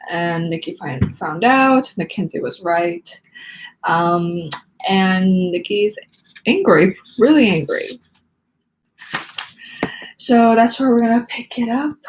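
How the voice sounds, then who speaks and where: Expressive woman reading aloud. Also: mid-range voice, slow, slight background noise, low-quality recording. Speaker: read-along host. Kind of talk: expressive children's book read-aloud.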